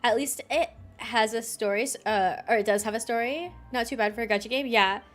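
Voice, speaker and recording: A woman's voice: on the high side, fairly quick. A woman doing casual, informal gameplay commentary as a streamer, giggly and reacting aloud. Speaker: game streamer. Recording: game music behind the voice.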